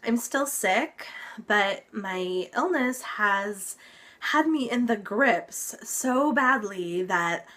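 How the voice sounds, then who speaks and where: Slow, unhurried young woman vlogger, stuffy-nosed and recovering, rambling casual update. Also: mid-range voice, animated, bright, forceful tone. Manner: chatty, lots of ums, occasional laughs. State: congested, recovering from illness. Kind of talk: casual health update vlog.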